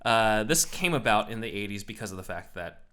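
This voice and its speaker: A man with a fairly low voice hosts a podcast in loose, casual chat. He explains things in a rambling way and leans on plenty of fillers as he goes. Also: animated, fairly quick, sharp tone, clear, resonant tone. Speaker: podcast host.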